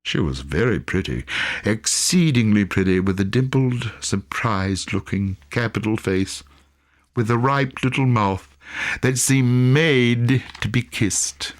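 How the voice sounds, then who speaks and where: A man reading a literary story as an audiobook, with measured, theatrical phrasing. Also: on the low side. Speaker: audiobook reader.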